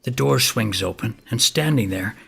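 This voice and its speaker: An actor playing a folksy, excitable neighbor and amateur vampire hunter, telling a comic story conversationally and acting out the dialogue. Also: male voice, medium pitch, normal speed, vocal fry, husky, exaggerated voice. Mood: wry, suspenseful. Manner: animated, conversational, acts out dialogue. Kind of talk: comic first-person storytelling monologue.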